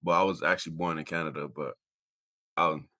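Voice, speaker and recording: A man's voice: a bass voice, steady tone, a little fast, bright, forceful tone. A man in his twenties from Miami, talking casually and earnestly as a college athlete being interviewed, often repeating himself for emphasis. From Miami, Florida. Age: 20s. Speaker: college football player, interview guest.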